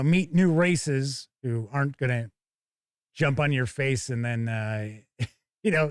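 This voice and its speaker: A man's voice: a little high, no room sound, studio quality. A man hosting a tabletop gaming show, giving chatty, casual live commentary as he looks over products and talks with viewers.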